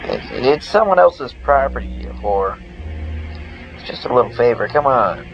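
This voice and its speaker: A man's voice: mid-range voice, big pitch swings, moderate pace, lo-fi sound, slight background noise. A man reading the dialogue of a game aloud as he plays, with game music behind him. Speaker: let's player.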